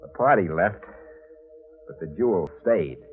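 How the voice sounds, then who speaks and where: A man's voice: low-pitched, animated, a little slow. A man acting an obsessed, murderous narrator in a 1940s radio thriller, intense and dramatic. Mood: grim, brooding. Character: obsessed murderous man narrating his crimes, fanatical and menacing. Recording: muffled 1940s radio recording with loud music.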